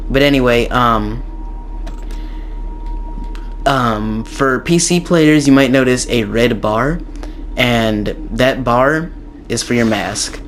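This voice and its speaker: A young man with a slightly raspy, hoarse voice from a sore throat, giving a rambling casual game tutorial with many ums and restarts. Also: mid-range voice, slow pace, long pauses, a bit bright, slight background noise. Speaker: gaming YouTuber.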